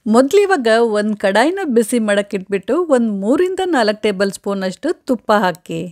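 A middle-aged woman hosting a cooking channel, giving friendly, clear step-by-step recipe instructions from her home kitchen on a lapel mic. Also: medium pitch, very animated, fairly quick, a little mellow, dry recording, studio quality.

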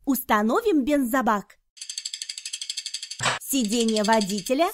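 Female children's cartoon narrator, high voice, simple instructional read, clear vehicle noise and clicks behind. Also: animated, slow pace.

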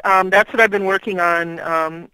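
A woman's voice: lowish voice, steady tone, moderate pace, sharp tone, clean tone, dry recording, low-quality recording. A middle-aged woman guesting on an interview show, chatting in a rambling way with fillers and easy laughs. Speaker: guest author. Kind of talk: casual interview chat.

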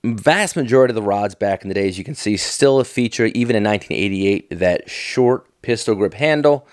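Low-voiced folksy American man. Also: animated, brisk, no room sound, clean studio sound. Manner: folksy, enthusiastic, nostalgic. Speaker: fishing tackle vlogger. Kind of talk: casual off-the-cuff catalog commentary.

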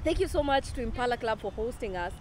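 A woman's voice: on the high side, expressive, quick pace, darkish tone, slight background noise. A young Kenyan woman hosting a casual outdoor interview, mixing English with Swahili slang. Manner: chatty, mixes English with Swahili slang, laughs often. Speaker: talk show host. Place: outdoor club lawn.

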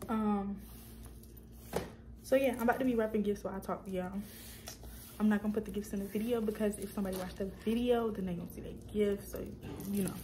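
A young woman talks straight to her camera in a small room at home, vlogging in a loose, chatty way. She wanders off track and corrects herself often. Her pitch sits in the middle, and steady background noise runs under the recording. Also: quick pace, poor audio. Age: young adult. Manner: rambling, self-correcting, chatty with viewers. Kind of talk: casual chat to camera.

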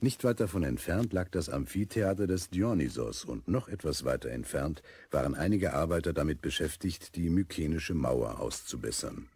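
A man's voice: rumbling low, lively intonation, normal speed, few pauses, darkish tone, slightly rough, no echo, full character voice. A man narrating a children's audio drama in a scripted storytelling style.

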